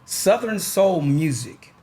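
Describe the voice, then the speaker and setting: A man hosting a podcast, talking in a relaxed, rambling way with lots of pauses and fillers. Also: a little high. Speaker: podcast host. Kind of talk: casual chat.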